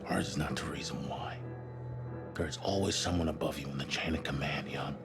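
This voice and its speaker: An actor playing a calm, authoritative middle-aged space mission superior in a sci-fi game, measured and persuasive. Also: male voice, rumbling low. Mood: grave, persuasive. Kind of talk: cinematic sci-fi game acting. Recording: loud music behind the voice. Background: loud cinematic score.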